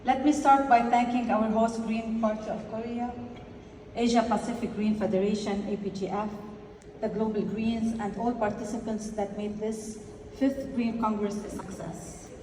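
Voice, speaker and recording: A woman from Lebanon delivers a prepared congress speech with a somewhat high voice, earnest and measured, slowing for emphasis. She is picked up from a distance in a large, echoey hall with a long reverb tail and faint noise underneath. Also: steady tone. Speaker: party delegate giving a congress speech.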